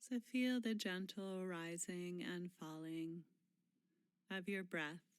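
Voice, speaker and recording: A woman leading a guided mindfulness meditation in a gentle, unhurried manner, pausing often and letting phrase endings fall soft and breathy. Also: on the low side, steady tone, dry recording, studio quality.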